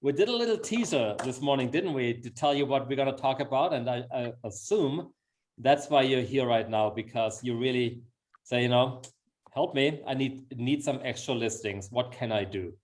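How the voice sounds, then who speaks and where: Man, middle-aged, Austrian accent, German native, mid-pitched, upbeat webinar host, chatty and encouraging, video call. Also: lively intonation, normal speed. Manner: effusive, encouraging. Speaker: webinar host, sales executive.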